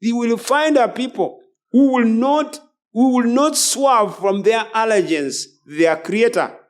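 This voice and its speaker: A man's voice: very high voice, animated, takes it slowly. A man preaching a sermon as a preacher, emphatic and repeating phrases as he goes. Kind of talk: sermon with scripture and book readings.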